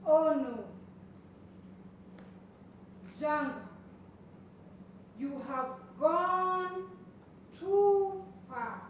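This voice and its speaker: A woman's voice: high-pitched, drawn-out words. A Kenyan woman playing a bold, idealistic young student activist in a stage play, recorded from far off. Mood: shocked. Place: stage, distant microphone. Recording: echoey stage recording from far off, faint noise.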